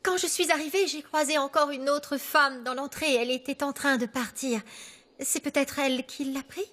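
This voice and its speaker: A woman's voice: sits high, steady tone, normal speed, sharp tone. An actress playing a polite, uneasy young woman visiting someone. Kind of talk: dubbed drama acting.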